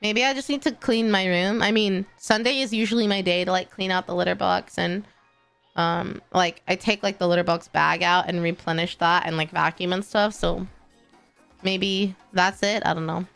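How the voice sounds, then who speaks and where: A young woman chats with her stream audience in an easy, encouraging way. Her nose sounds stuffy and congested, and she laughs often. Faint music plays softly underneath. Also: lowish voice, steady tone, moderate pace, gravelly, smooth. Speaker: VTuber streamer. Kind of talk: casual livestream chat, reading chat.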